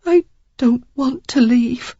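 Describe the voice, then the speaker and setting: A man's voice: very high voice, takes it slowly, dark tone, slightly rough, dry recording, dull audio, pitched above the performer's own voice, exaggerated voice. A man voicing a timid, pleading young woman. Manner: pleading, breathless, stammering.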